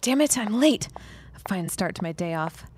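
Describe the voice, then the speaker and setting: A woman's voice: mid-range voice, animated, moderate pace, darkish tone, a little hiss or hum, natural voice. A woman playing a frank, plain-spoken, dryly witty working woman in a period audio drama. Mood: flustered, exasperated.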